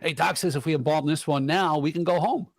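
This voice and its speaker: Casual, whiny male morgue worker played by an actor, radio drama; high-pitched, dry close sound. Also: a little fast, no echo. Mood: pleased, businesslike. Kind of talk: vintage radio drama acting.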